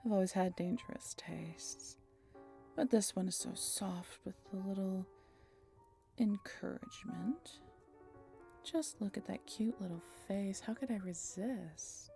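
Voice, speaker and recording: A woman's voice: medium pitch, takes it slowly, creaky, dark tone, soft background music. A woman playing a snarky, teasing female vampire in an audio roleplay, talking to the listener in a wry, conversational way. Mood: coy, teasing. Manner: sardonic, wry, playful, conversational. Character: snarky, swearing female vampire road-tripper, teasing and dramatic.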